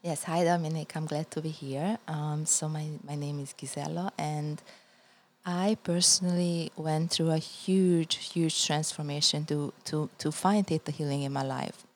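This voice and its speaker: Middle-aged hungarian lady, deep-ish voice, earnest podcast guest, repeats words a lot. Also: steady tone, a little slow. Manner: earnest, repeats words while searching for them. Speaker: guest healer. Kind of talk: podcast interview.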